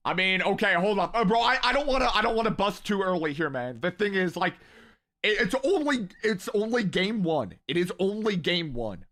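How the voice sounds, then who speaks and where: Hyped young streamer, high bright voice. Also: male voice, big pitch swings, a little fast. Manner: hyped, rambling, talks to chat. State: losing his voice. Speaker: streamer hosting a watch party. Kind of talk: excited live-stream chat.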